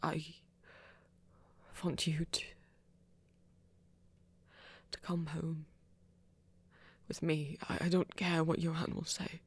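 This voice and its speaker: A woman playing a flirty, tender vampire in an intimate ASMR romance, speaking gently and haltingly in a breathy half-whisper. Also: deep voice, flat delivery, heavily acted. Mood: nervous, adoring. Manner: halting, gentle, careful phrasing. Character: flirty, tender vampire shyly confessing love to a hunter.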